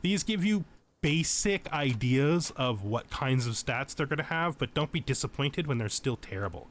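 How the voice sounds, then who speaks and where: A man's voice: medium pitch, expressive, normal speed, a little hiss or hum. A man giving casual tutorial commentary over a video game, explaining things as he thinks aloud.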